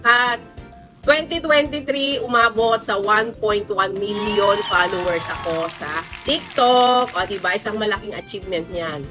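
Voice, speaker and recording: A Filipino woman in her forties, a teacher and content creator, chatting to her followers in a conversational, emphatic storytelling style with drawn-out words. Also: mid-range voice, music in the background. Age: 40s. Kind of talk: casual storytelling vlog to followers.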